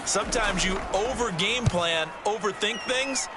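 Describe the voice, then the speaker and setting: An actor playing a chatty, opinionated football analyst in a video game, with an American accent and a folksy, explanatory way of talking. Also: male voice, high voice, measured pace, loud music behind the voice, a loud crowd. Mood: analytical, approving. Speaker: color commentator.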